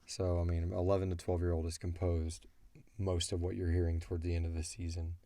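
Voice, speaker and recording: Middle-aged male host for informal Q&A, very low and dark in tone. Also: level tone, a little fast, dry recording. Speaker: audio drama creator and show host. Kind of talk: casual Q&A chat about a show.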